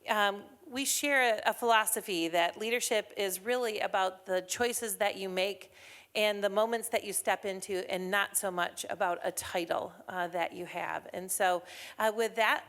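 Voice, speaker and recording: A woman's voice: medium pitch, moderate pace, fairly bright tone. A woman hosting a chamber of commerce morning event, chatty and upbeat, with frequent fillers, speaking into a mic in an event hall. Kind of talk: emcee announcements at a morning business event. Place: event hall with a live audience.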